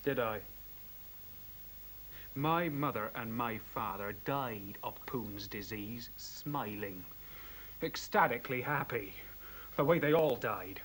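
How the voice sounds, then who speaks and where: A man's voice: medium pitch, slow, pauses often, fairly bright tone, rough recording. An actor playing an agitated, cynical young man in a radio play, stammering, slangy and argumentative. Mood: agitated, bitter. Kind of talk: radio drama acting. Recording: hissy old radio play recording.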